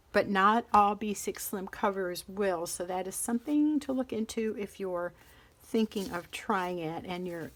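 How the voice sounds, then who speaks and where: A chatty middle-aged woman showing off her planners in a relaxed vlog. She rambles, loses her thread and picks it up again as she handles her notebooks, with a voice of ordinary pitch. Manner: chatty and rambling, interrupts herself while handling items. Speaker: planner vlogger. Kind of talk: casual show-and-tell chat.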